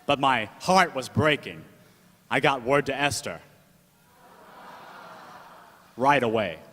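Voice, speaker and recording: A man's voice: medium pitch, lively intonation, normal speed, a bit bright. A man playing a defiant, principled uncle who talks in gangster-era slang in a radio play. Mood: sorrowful. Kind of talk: old-time gangster radio play acting. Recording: echoey hall with music behind.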